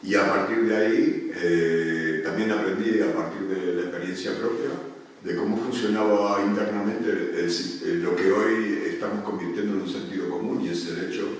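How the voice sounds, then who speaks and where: A man's voice: low voice, steady tone, moderate pace. A man, giving a hesitant public talk full of fillers at a union meeting. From Argentina. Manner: hesitant, many restarts and fillers. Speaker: panel speaker. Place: meeting room at a union headquarters. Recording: echoey room, speaker far from mic.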